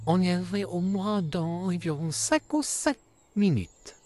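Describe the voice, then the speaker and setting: A man voicing a friendly, courteous male puppet in an amateur comic dub, calmly addressing his friends. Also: high-pitched, measured pace, dry recording. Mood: welcoming, amused. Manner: calm, courteous, repeatedly addressing listeners as friends.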